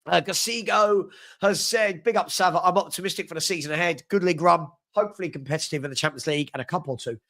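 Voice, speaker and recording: A young man hosting a live stream, his voice pitched fairly high for a man. He chats in an upbeat way, rattling off shout-outs to viewers while fighting a sniffly head cold. He is close to a desk mic. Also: fast. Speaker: host of a live fan chat show. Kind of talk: casual live-stream chat reading out viewers' comments.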